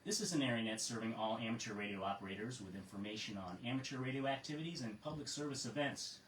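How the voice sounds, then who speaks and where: An American man acting as ham radio net control, reading procedures in a measured way with casual asides. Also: mid-range voice, fairly bright tone. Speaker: amateur radio net control operator and host. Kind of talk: reading a net script and chatting with check-ins. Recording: roomy, distant mic with faint noise.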